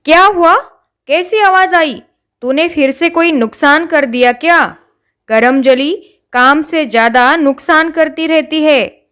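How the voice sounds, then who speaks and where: A woman's voice: high-pitched, takes it slowly, fairly bright tone. A woman voicing a greedy, cruel aunt in a cartoon story, scolding harshly. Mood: angry scolding.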